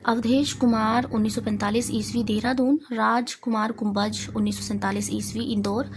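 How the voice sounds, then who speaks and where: A woman teacher reads out exam revision questions, answers and lists, reciting deliberately item by item. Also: a little high, steady tone. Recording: clearly noisy amateur recording.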